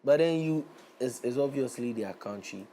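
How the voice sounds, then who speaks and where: A young Ghanaian man, an Afrobeats artist, speaking as a guest in a casual interview. He sounds relaxed, grows animated and repeats himself as he goes. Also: medium pitch, normal speed, slight background noise.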